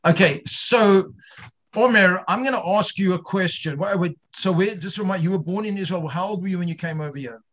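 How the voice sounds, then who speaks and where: Guy teaching an online religion class, higher voice, keeps asking students stuff, stops and restarts. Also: fairly bright tone. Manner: halting, repeats himself, rhetorical questioning. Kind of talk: informal interactive teaching with questions to students.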